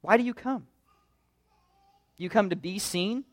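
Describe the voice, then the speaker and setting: A man's voice: sits high, measured pace, dry recording. A man pastor preaching a sermon in an earnest, conversational way, full of rhetorical questions.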